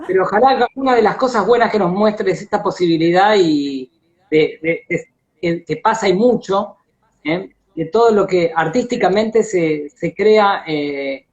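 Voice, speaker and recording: A lo-fi, slightly muffled live-stream recording of an Argentine man hosting a casual interview. He talks with enthusiasm but haltingly, circling back and repeating words as he works out each thought. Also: high-pitched. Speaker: interview host. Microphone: video call. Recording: dull lo-fi live-stream audio.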